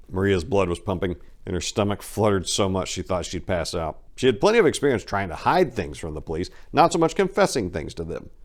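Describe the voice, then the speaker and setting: A man with a low voice reads crime fiction as a storyteller. His delivery is theatrical, with a sardonic edge, as if confiding in the listener. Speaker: narrator. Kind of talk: dramatic reading of a crime story.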